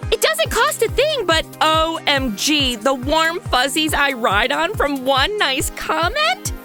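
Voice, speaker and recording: A woman playing a sarcastic, excitable modern heroine in a comic audio drama, exclamatory and self-centred. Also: high-pitched, takes it slowly, soft background music, full character voice. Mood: excited, grateful.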